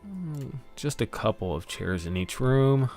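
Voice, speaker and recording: A man talks to himself in a casual, rambling way while working on a stream. His delivery is unhurried and his voice has a gravelly fry. Faint music plays behind him. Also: mid-range voice, sing-song, darkish tone. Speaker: streamer, game master. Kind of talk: casual thinking-aloud stream commentary.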